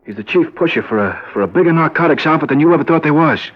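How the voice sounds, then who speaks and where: Jittery American drug peddler turned informant, highish voice, halting, insistent, guilt-ridden, muffled old radio. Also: male voice, expressive. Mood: desperate, insistent. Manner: agitated, halting, repeats himself insistently. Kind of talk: 1950s American radio crime drama acting.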